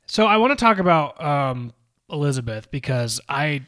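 Chatty man with a slightly high voice. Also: normal speed. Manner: animated, mocks people with put-on quotes. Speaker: podcast co-host. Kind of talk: casual reality-TV recap chat.

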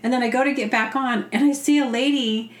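A woman's voice: high voice, steady tone, a little fast. A woman chatting casually as a podcast host, telling rambling, self-deprecating stories and acting out her own reactions. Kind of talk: casual comedic storytelling. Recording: roomy, echoey sound.

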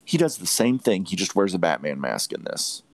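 A man's voice: low-pitched, sing-song, moderate pace, dry recording. A man hosting a podcast, giving opinionated movie reviews in an emphatic way, repeating phrases for stress. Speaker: podcast host reviewing films.

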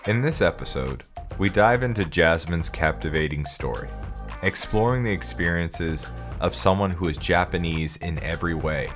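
A man hosts a podcast with a voice pitched very low. He reads his opening in a scripted way with a dry, self-deprecating aside, then chats loosely with his guest. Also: normal speed, a bit bright, faint music behind. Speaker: podcast host. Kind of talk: scripted intro, then casual interview.

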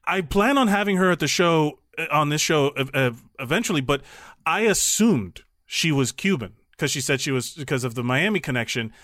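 A man hosting a podcast in casual conversation, halting and repeating words as he thinks aloud. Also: fairly high pitch, expressive, a bit bright, no echo, studio quality. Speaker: podcast host.

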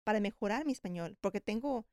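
A woman's voice: medium pitch, expressive, quick pace, darkish tone, no room sound, studio quality. A woman hosting a podcast, reacting eagerly and telling stories while mixing Spanish and English. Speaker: host. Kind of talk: casual bilingual conversation.

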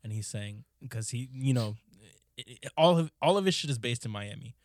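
A man's voice: medium pitch, expressive, moderate pace, dry recording, studio quality. A man co-hosting a podcast, chatting casually with friends, laughing often and rambling with a lot of swearing. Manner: laughing, profane, rambling storyteller. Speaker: podcast co-host. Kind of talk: casual group chat.

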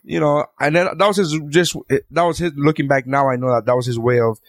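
Young guy, mid-pitch, rambling profane storyteller, dry close sound. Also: a little fast, dry recording. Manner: rambling, heavy swearing, animated retelling. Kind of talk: casual profane chat.

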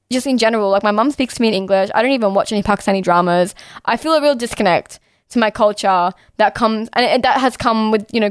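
A woman's voice: mid-range voice, clear, resonant tone, no echo, studio quality. A young Australian woman talking casually and bluntly as a podcast co-host, saying "like" constantly and swearing freely. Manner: outspoken, heavy use of "like", swears freely.

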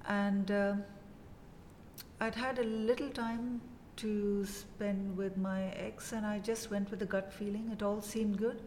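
A woman in her fifties from India with an Indian English accent, telling her story as a guest in a casual interview, measured and full of pauses. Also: medium pitch, level tone, a little mellow, in a large hall, slight background noise. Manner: measured, many pauses and "uh" fillers.

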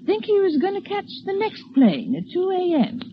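A woman's voice: very high-pitched, very animated, moderate pace. Gossipy-paced folksy landlady, colloquial and rambling, vintage radio drama with muffled, faintly noisy sound. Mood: chatty. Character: chatty, folksy female rooming-house landlady. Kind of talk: 1940s radio drama acting. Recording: muffled, hissy old radio broadcast.

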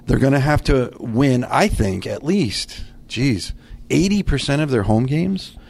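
A man's voice: mid-range voice, expressive, a little slow, vocal fry, a little mellow. A man chatting casually as a podcast co-host, with a wry, teasing way of talking. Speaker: podcast co-host, team news director. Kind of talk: casual chat.

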